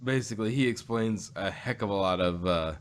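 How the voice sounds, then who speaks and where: A man's voice: lowish voice, a little fast. A man co-hosting a fan review show, chatting casually and jokingly, often acting out imagined quotes as he makes his points. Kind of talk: casual chat reviewing audio drama.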